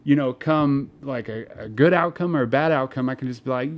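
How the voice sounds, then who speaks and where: A man's voice: mid-range voice, fairly quick, highs cut off. A young man co-hosting a podcast in casual banter, telling rambling stories full of swearing and filler words. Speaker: podcast co-host.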